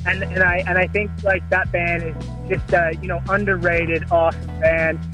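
A musician answers interview questions over a remote line, easygoing and a bit hesitant. His voice sits high for a man, and music plays clearly underneath. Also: little pitch movement, normal speed, rough recording. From Canada. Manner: easygoing, hesitant, many fillers and restarts. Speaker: musician guest. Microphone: remote call line.

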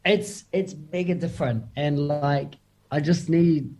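A man's voice: fairly high pitch, unhurried, dark tone. A man with a New Zealand accent, a musician chatting casually as an interview guest, laid-back and full of slang and fillers.

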